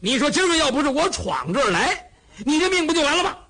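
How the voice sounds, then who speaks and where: A man puts on a brash young bandit hero who bellows and blusters, his husky voice pushed high and swinging wildly. He is blunt and loud in every line. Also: big pitch swings, moderate pace, heavily acted. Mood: blustering.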